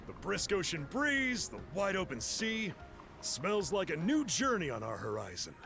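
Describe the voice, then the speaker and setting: A man's voice: sits high, huge pitch swings, unhurried, vocal fry, music in the background, exaggerated voice. A man voicing a cheerful, easygoing adventurer and loyal travel companion in a video game, breezy and teasing in manner. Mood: upbeat.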